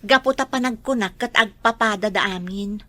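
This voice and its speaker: A woman's voice: mid-range voice, moderate pace, pushed and bright, dry recording, lightly acted. A woman playing a dutiful young daughter in an Ilocano radio drama. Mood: surprised, questioning. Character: dutiful young daughter, startled then accepting.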